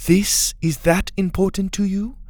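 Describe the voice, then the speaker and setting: A man's voice: on the high side, lively intonation, a little slow, no room sound, pitched above the performer's own voice. A man voicing a stern, practical, impatient female leader in a storybook, speaking firmly and formally. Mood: reluctant, stern. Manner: firm, snappish, formal wording without contractions.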